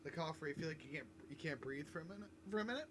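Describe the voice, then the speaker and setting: Guy rambling through a game playthrough, coughing, kinda high voice, noisy mic. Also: expressive, very fast. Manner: rambling, swears a lot, self-mocking. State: coughing fits. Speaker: gaming YouTuber. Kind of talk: casual let's-play commentary. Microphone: desk mic.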